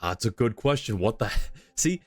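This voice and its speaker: Low-voiced man musing on a game livestream. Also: animated, moderate pace, dry recording. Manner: musing, thinking aloud, analytical. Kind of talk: casual live-stream commentary, chatting with viewers. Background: game music.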